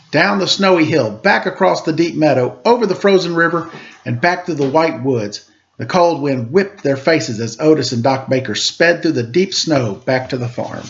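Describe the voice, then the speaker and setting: A man reading a children's story aloud in an expressive, dramatic storytelling manner. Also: on the high side, normal speed, highs cut off, slight background noise. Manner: dramatic read-aloud, acting out sound effects and dialogue.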